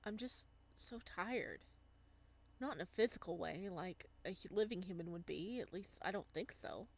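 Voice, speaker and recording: A woman's voice: on the low side, lively intonation, normal speed. A woman voicing a lonely, wistful young male ghost as she reads a visual novel aloud. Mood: weary, subdued.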